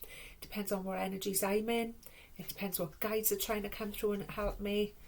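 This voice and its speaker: A woman's voice: medium pitch, flat delivery, creaky, a little mellow, low-quality recording. Welsh woman giving a chatty card reading. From Wales. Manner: chatty and meandering, fillers and restarts, addresses listeners as my loves; slow measured reading aloud from a card guidebook. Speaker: tarot card reader. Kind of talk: casual spoken card reading.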